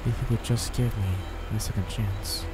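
A man's voice: lowish voice, fairly even intonation, normal speed, natural voice. A man playing a desperate teenage villain, pleading in a melodramatic comic dub. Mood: pleading, broken. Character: desperate, pleading teen boy villain, Frank's false friend. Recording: loud music and sirens behind.

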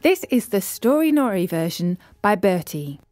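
A woman narrating a scripted story for children as a storyteller, with measured, deliberate phrasing. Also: mid-range voice, very animated, darkish tone, no echo, faint music behind.

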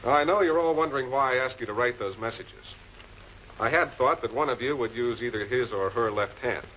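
Male prosecutor played by a male actor, vintage crime drama. Authoritative, deliberate delivery, dull noisy film audio. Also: medium pitch, lightly acted. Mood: commanding. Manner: measured, commanding, deliberate. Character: composed, authoritative male public prosecutor cornering murder suspects. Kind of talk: 1940s television crime drama acting. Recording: muffled, noisy old film soundtrack.

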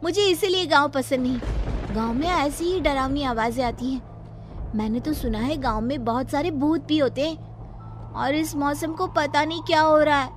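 A woman voicing an anxious young sister of an Indian family in an animated horror story, with music underneath. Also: sits high, moderate pace, lightly acted. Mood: nervous.

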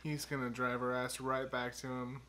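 A young man with a bright, sharp voice tosses off sassy, campy quips while watching a movie. He sits indoors among bookshelves, with a faint hum of noise behind him. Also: mid-range voice, level tone, normal speed. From Texas. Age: young adult. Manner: sassy, campy quips, calls people girl and baby. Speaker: co-host reacting to a film. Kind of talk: casual reaction chat. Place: home room with bookshelves, indoors.

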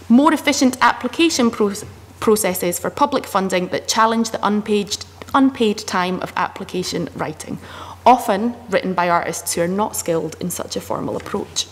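A woman in her thirties on a panel, reading a list of proposals aloud in a steady, measured way. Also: medium pitch. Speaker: panel speaker. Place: committee room in a parliament building. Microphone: desk microphone. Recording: long reverb with faint room noise.

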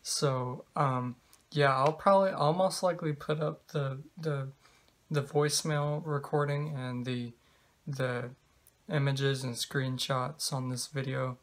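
A man in his twenties talking casually to camera in a halting, hesitant, rambling way, recorded indoors in a bedroom. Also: medium pitch, steady tone. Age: 20s. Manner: halting, hesitant, trailing delivery. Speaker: vlogger.

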